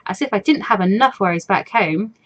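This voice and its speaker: A woman's voice: medium pitch, brisk, fairly bright tone, close to an everyday voice. A woman voicing an anxious, introspective fourteen-year-old girl in a visual novel, with a hesitant, musing manner. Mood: troubled, musing. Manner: hesitant, musing inner monologue. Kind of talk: visual novel read-aloud.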